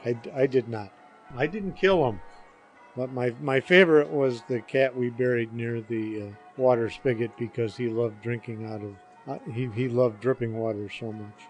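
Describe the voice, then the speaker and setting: Halting older man, dry humour. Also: medium pitch, fairly even intonation, faint music behind. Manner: halting, dryly joking. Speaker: interview guest, father of the hosts. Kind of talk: casual interview answers.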